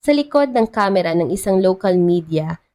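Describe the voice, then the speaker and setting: A woman's voice: medium pitch, lively intonation, moderate pace, dark tone. A woman giving scripted, dramatic narration of a love story as the storyteller.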